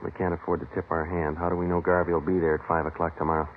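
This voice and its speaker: Deadpan, deep-voiced police detective. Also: male voice, very fast, slight background noise. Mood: terse. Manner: deadpan, clipped. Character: terse, stoic male police detective sergeant narrating his case. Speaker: lead actor and narrator. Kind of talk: 1950s radio crime drama, scripted narration. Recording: muffled vintage radio recording with music behind.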